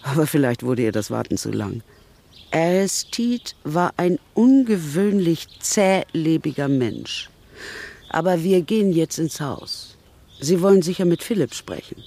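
An actress playing a dry, sardonic older aunt of a wealthy family in a radio drama. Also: female voice, sits low, lively intonation, unhurried, mellow, full character voice. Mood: wry.

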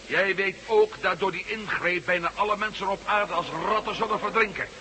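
An actor playing a defiant, sarcastic captive hero in a science-fiction radio drama. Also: male voice, high voice, fairly even intonation, brisk, bright, forceful tone. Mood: indignant. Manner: challenging, sarcastic. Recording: faintly noisy old radio recording.

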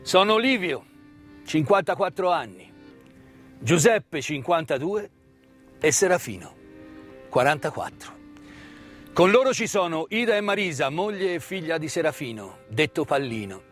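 A male stage storyteller with a fairly high voice performs a scripted narrative, with faint music behind him. He speaks in a measured theatrical manner, setting phrases apart with deliberate pauses. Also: big pitch swings, fairly bright tone. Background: live instrumental music.

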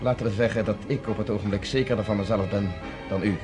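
Man doing a cocky confident leader, low voice, music under, old radio play. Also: steady tone, fast talker, natural voice. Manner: confident, assertive, persuasive. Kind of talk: radio drama acting.

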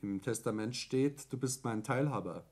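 A man plays a domineering elder son in a 1960s radio drama. His mid-pitched voice is curt, impatient and businesslike. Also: moderate pace, lightly acted. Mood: cold, pressing. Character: brusque, businesslike, domineering elder son taking over family firm. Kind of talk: 1960s German radio play acting.

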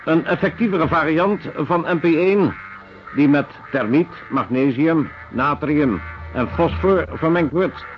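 A man playing a detached lecturer in a radio drama, reading in a precise, impersonal, matter-of-fact way. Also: on the high side. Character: detached clinical lecturer on weapons and torture methods. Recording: dull radio recording with music behind.

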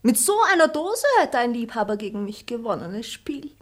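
An actress playing a sharp-tongued, coquettish young maid in a radio play. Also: female voice, on the high side, lively intonation, moderate pace. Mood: pert. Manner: pert, mocking, coaxing.

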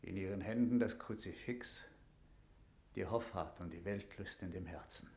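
An actor playing a stern, suspicious jailer knight in a classical radio drama, declaiming gravely in verse. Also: male voice, low-pitched, moderate pace, a little mellow. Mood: scornful. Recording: roomy, echoing radio-play sound.

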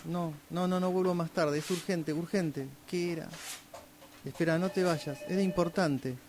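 A man's voice: fairly high pitch, steady tone, moderate pace, a little mellow. An Argentine man performing an acted dialogue exercise as a radio drama workshop student.

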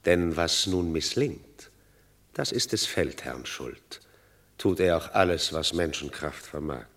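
An actor playing a measured, sardonic Roman tribune, declaiming deliberately in a classical German radio drama. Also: male voice, low-pitched, expressive, a bit bright, heavily acted. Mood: shrewd, disdainful.

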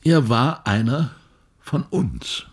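An actor playing a grave, ailing old physics professor in a German radio play, halting, emphatic and oracular, with a husky, breathy voice. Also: male voice, medium pitch, animated, exaggerated voice. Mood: ominous, urgent. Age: older.

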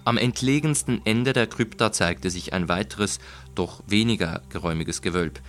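Male audiobook narrator: low voice, measured and deliberate literary storytelling, music underneath. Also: fairly bright tone.

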